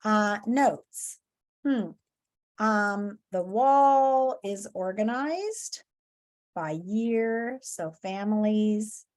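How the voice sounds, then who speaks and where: A woman's voice: mid-range voice, a little mellow. A woman teacher reading a story aloud and giving lesson instructions to pupils, expressively and directly.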